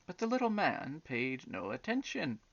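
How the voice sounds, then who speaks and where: A woman's voice: low-pitched, sing-song, normal speed, dull audio. A woman reading a children's story aloud expressively, drawing out words with theatrical emphasis. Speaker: storybook reader. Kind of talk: expressive storytelling with chatty asides to young listeners.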